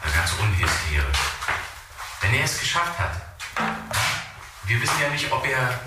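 An actor playing an anxious, defensive man who talks haltingly and interrupts himself in a radio drama. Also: lowish voice, very animated. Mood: anxious, reasoning. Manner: halting, agitated, self-interrupting. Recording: very echoey, distant, loud music underneath.

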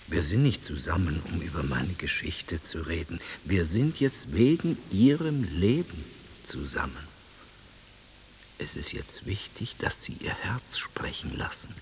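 An actor in a German radio play playing an intense, insistent male therapist, solemn and often hushed, guiding a patient inward. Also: medium pitch. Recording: hissy radio play recording.

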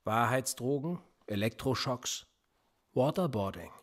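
An actor playing a cynical, sly police interrogator in a radio play, with an insinuating, coolly threatening manner. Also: male voice, mid-range voice, lively intonation, unhurried, slight background noise, natural voice. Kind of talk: naturalistic German radio play acting.

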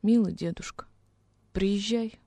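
A woman's voice: mid-range voice, slow pace, creaky, warm tone, dry recording. A woman playing a homesick young peasant orphan boy in a radio drama, plaintive and naive, with rustic folk speech. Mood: miserable pleading. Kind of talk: dramatic radio reading of a classic story.

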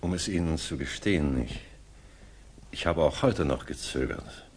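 An actor playing a reserved, pedantic English gentleman teacher in a 1960s German radio drama, subdued, formal and a little hesitant. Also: very deep voice, animated, normal speed, slightly rough, full character voice. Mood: awkward, gentle.

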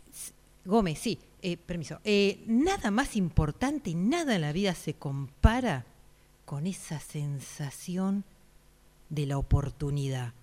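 A woman in a radio drama exercise playing a solemn superior urging someone to seize the moment, formal and halting. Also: fairly low pitch, warm tone, full character voice.

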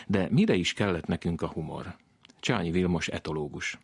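A man narrating a radio science program in a measured, lightly ironic scripted style. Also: sits low, expressive, husky, dry recording.

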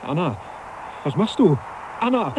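An actor playing a fretful, self-righteous middle-aged archivist in a German radio drama, arguing in a pedantic, declamatory way. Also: male voice, fairly high pitch, big pitch swings, a little slow. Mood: alarmed. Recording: noisy cassette copy of radio drama.

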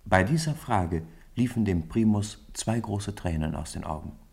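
A man narrates a literary radio play. His voice is deep and raspy, with plenty of creak as he tells the scripted story. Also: unhurried, darkish tone.

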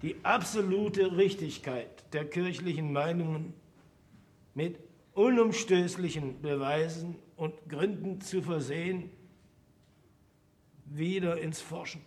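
An actor in a German radio play voicing an old, fearful yet sly scholar, confessing in a halting, mock-humble way. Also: male voice, fairly high pitch. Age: older.

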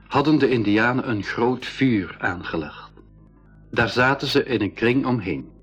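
An actor playing a nephew on a jungle expedition in a radio play, also telling the story, in a measured, inquisitive way. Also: male voice, mid-range voice, husky, lo-fi sound. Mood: measured, storytelling. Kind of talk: 1980s school radio play acting and narration.

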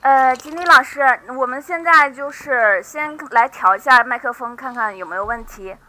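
A woman's voice: high-pitched, moderate pace, sharp tone, low-quality recording. A woman hosting, chatting casually as she runs a sound check. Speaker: live event host.

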